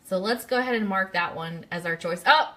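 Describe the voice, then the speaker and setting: Bright-voiced woman hosting a live quiz. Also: medium pitch, fairly quick. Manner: chatty, interactive, addresses listeners directly. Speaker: radio show host.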